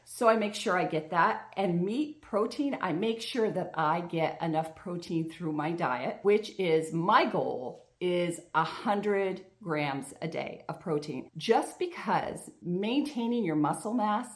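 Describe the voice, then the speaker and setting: A woman's voice: lowish voice, animated, slow pace, hardly any pauses. A woman in her fifties, a health coach hosting her own vlog, talking earnestly and chattily to the camera with frequent restarts. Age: 50s.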